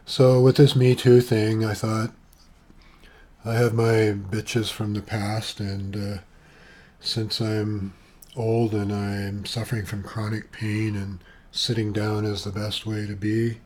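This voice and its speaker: A soft-voiced Canadian man in his seventies, sitting at his computer at home and rambling through a personal video monologue with many asides. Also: fairly low pitch, little pitch movement, slow. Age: 70s. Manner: chatty, eccentric, wandering with asides and fillers. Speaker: vlogger. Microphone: webcam with external sound gear.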